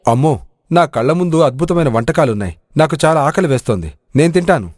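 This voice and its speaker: A man's voice: on the high side, lively intonation, fast talker, a little mellow, dry recording, clean studio sound. Man acting a hearty village strongman. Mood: hungry, dazzled. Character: hearty, food-loving axe-wielding village strongman. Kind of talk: dramatic audio storybook acting.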